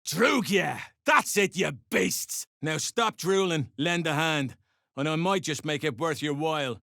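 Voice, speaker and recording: An actor playing a gruff, greedy, foul-mouthed dwarf overseer in a video game, bossy and sarcastic in clipped phrases. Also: male voice, fairly high pitch, lively intonation, unhurried, pushed and bright, no room sound, studio quality, exaggerated voice. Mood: bossy, sneering. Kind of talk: video game character acting.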